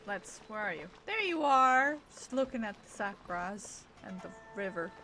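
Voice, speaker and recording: A woman's voice: mid-range voice, sing-song, moderate pace, bright, forceful tone, low-quality recording. A woman game streamer, a non-native English speaker, reading dialogue aloud and commenting playfully. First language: not English. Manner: playful, self-interrupting. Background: video game music.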